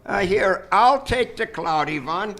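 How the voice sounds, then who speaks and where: A man playing a sinister, formal and imperious doctor with smooth manners in a live radio drama. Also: sits high, lively intonation, slow pace, fairly bright tone, heavily acted. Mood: controlled, sly. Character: sinister, smooth-mannered male doctor, commanding master of servant. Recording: live theater stage performance.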